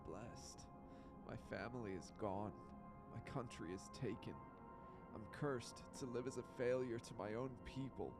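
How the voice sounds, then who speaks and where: A man's voice: mid-range voice, a little slow, music in the background, close to an everyday voice. A man playing a bitter, grieving male survivor of a fallen kingdom in a fantasy audio drama. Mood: bitter despair.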